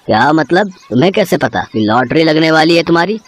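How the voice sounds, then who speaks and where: A man's voice: fairly high pitch, expressive, brisk. A man playing a proud, rude, greedy street-food seller in a cartoon moral story, boastful and dismissive. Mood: mocking. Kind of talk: acted Hindi moral-story cartoon dialogue.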